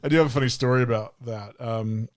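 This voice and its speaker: A man's voice: mid-range voice, steady tone, brisk, no room sound. A man in his thirties co-hosting a podcast, telling rambling stories in casual chat and laughing often. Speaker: podcast co-host.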